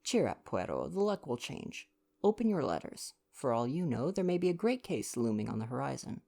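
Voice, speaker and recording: Detective's companion read by a man: cheerful English gentleman, eager and hearty, old-fashioned storybook manner. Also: mid-range voice, sing-song, moderate pace, gravelly, pitched above the performer's own voice. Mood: encouraging. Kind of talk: storybook dialogue reading.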